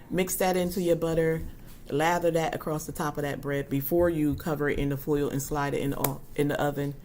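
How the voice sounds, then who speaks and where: Husky, low-pitched woman, 40s, casual livestream host, chatty and friendly with viewers. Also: a little hiss or hum. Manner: chatty and warm toward her viewers, frequent ums.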